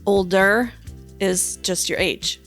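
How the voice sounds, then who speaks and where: A woman's voice: medium pitch, slow pace, a bit bright, soft background music. A woman in her fifties, a counselor guest on a podcast, chatting in a reflective way and thinking aloud with restarts. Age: 50s. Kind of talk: casual chat.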